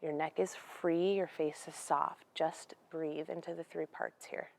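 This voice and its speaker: A young woman guiding a yoga class in a gentle, unhurried, conversational way. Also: sits low, steady tone, gravelly. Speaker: yoga instructor. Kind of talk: guided yoga class instruction.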